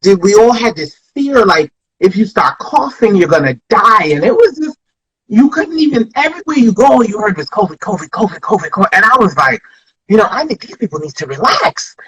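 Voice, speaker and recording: Husky American woman telling stories. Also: mid-range voice, sing-song, fairly quick, rough recording. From Flatbush, Brooklyn, New York. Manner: rambling, reflective, frequent restarts and "you know". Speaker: comedian, interview guest. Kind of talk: casual interview storytelling.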